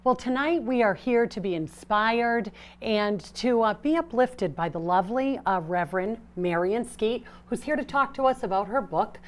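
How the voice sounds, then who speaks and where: A woman's voice: a little high, lively intonation, moderate pace, few pauses. Casting: woman talk show host, friendly, a little hesitant, lots of uh and um. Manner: friendly, hesitant, filler-heavy questions. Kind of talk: interview hosting.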